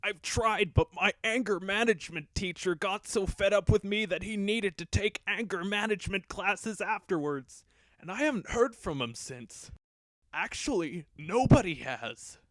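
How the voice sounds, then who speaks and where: A man's voice: high-pitched, animated, measured pace, a bit bright, dry recording. A man voicing a lovesick, hot-tempered villain who gets awkward, in an amateur cartoon parody dub. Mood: apologetic. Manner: awkward, stammering when caught out.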